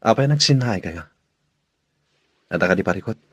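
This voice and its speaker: An actor playing a troubled, conscience-stricken younger brother in a radio drama, with an Ilocano accent. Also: male voice, deep voice, expressive, a little fast, slightly rough, no echo. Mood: uneasy, confiding.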